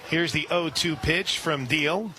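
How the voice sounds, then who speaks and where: A man calling a softball game live on radio, steady between pitches and reading listener shout-outs. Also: takes it slowly, faint music behind. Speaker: radio play-by-play announcer. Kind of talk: live radio sports commentary.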